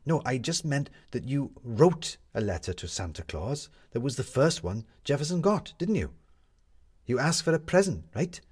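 A man's voice: mid-range voice, very animated, normal speed, darkish tone, breathy edge, pitched above the performer's own voice. A man voicing a commanding, wry woman reporter in a story, articulate and sardonic as she lectures. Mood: brisk, firm.

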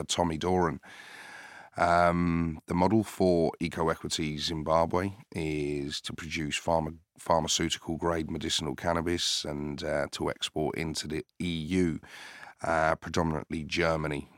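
A man who grew up in the UK, a company founder answering questions in a business podcast interview, measured and hesitant with many filler sounds. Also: extremely low pitch, fairly bright tone, dry recording, studio quality. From United Kingdom.

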